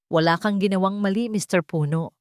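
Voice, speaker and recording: A woman's voice: mid-range voice, fairly quick, no echo, studio quality, natural voice. A woman voicing an icy, wounded female chief executive in an audio romance, guarded, with a Filipino accent. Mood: cold, lifeless. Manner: flat, guarded.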